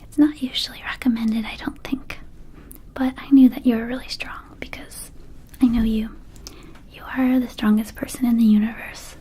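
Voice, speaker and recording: A woman playing a friendly, mischievous young vampire herb-shop keeper in a soft, soothing ASMR roleplay whisper. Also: fairly high pitch, level tone, moderate pace, lightly acted. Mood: coaxing. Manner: soothing, coaxing, hesitant asides.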